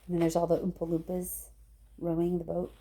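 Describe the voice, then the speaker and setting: A woman reading a children's book aloud in an expressive storytelling style. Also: fairly low pitch, brisk, low-quality recording. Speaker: storybook reader, teacher.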